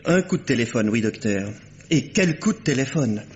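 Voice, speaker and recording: An actor playing a chatty, wry, familiar manservant in an old French radio drama. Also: male voice, medium pitch, lively intonation, normal speed, a little mellow, slight background noise, natural voice. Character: cheeky, familiar manservant of a country doctor. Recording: dull vintage radio recording.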